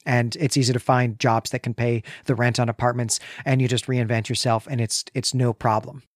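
A man of about forty co-hosting a book podcast, reasoning through his points in a measured, conversational way. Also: mid-range voice, little pitch movement, no room sound, clean studio sound. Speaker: co-host of a book discussion podcast. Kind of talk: conversational literary analysis.

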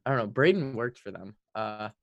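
A man's voice: fairly low pitch, animated, a little fast, vocal fry, no room sound. French-speaking guy on a sports podcast, casual but emphatic, kinda over the top, close dry recording. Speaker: hockey podcast co-host.

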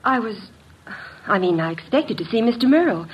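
An actress playing an anxious, hesitant young fiancée with a husky voice, in classic radio drama style. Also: female voice, on the high side, lively intonation, normal speed, dull audio. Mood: flustered. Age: young adult. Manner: hesitant, soft-spoken.

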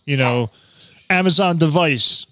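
A man's voice: on the high side, a little hiss or hum. A man co-hosting a podcast, joking crudely and laughing in loose banter with a friend. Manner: loud joking, profane, quick laughs. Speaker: podcast co-host.

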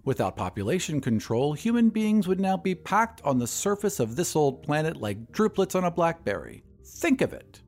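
A man's voice: a little high, very animated, normal speed, hardly any pauses, a little mellow, soft background music, pitched above the performer's own voice. A man voicing a genial, pompous, very old doctor who lectures emphatically in an audiobook story. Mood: severe, lecturing. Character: genial, pompous, very old male doctor championing population control.

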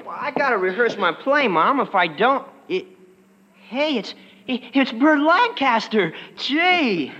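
A performer playing an excitable, awkward, stagestruck adolescent son, gawky and hammy, in 1940s radio comedy style. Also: mid-range voice, a little slow, slightly rough, exaggerated voice. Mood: awestruck, bashful. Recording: muffled, noisy old radio recording.

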